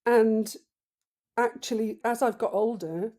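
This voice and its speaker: A woman in her fifties with a deep voice, a podcast guest talking slowly and thoughtfully in a casual interview. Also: dark tone. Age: 50s. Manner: slow, thoughtful, reflective.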